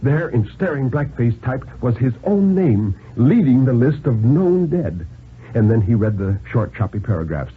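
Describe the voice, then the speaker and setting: A man gives a theatrical, deliberate dramatic reading of a story in 1950s radio style, with expressive pauses. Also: mid-range voice, husky, phone-quality sound. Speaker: narrator reading a thriller story. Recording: band-limited vintage 1950s radio broadcast.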